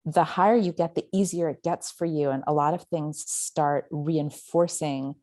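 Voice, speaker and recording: A woman with a very low, mellow voice speaks as a podcast guest, a novelist. She talks thoughtfully and hedges her points as she goes. The sound is dry and close. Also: steady tone, normal speed, no room sound. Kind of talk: casual podcast conversation.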